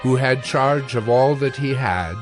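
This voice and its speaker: A man narrating a dramatized scripture reading in a measured, formal, scripted style. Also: mid-range voice, faint music behind.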